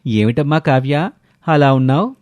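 A man's voice: on the high side, animated, moderate pace, darkish tone, soft background music, close to an everyday voice. Soothing, fatherly temple priest, done by a man. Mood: consoling. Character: kindly, consoling male temple priest.

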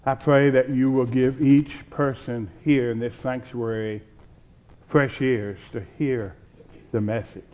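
An older man in his sixties delivers a sermon from a church pulpit. His pace is very unhurried and his pitch sits in a middle range for a man. He sets out short phrases deliberately, with pauses between them. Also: fairly even intonation, darkish tone, muffled recording. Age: 60s. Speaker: pastor. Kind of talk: sermon and spoken prayer.

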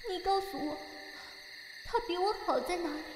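A woman acting an excitable, emotional female character with insistent, theatrical delivery in a Chinese audio drama. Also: very high-pitched, moderate pace, background noise. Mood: pleading, hurt.

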